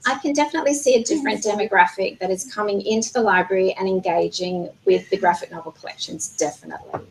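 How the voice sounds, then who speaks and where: An Australian woman librarian on a panel, soft-spoken and casual as she answers. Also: medium pitch, quick pace, a little hiss or hum, poor audio. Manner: soft-spoken, self-deprecating.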